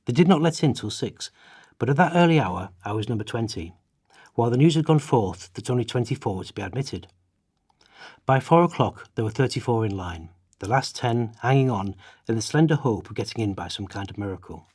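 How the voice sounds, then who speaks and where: A man playing a grave writer from past centuries, giving a measured, formal dramatised reading in a radio play. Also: medium pitch, expressive, a little mellow, slightly rough, no room sound, clean studio sound. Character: grave male social writers of past centuries chronicling poverty.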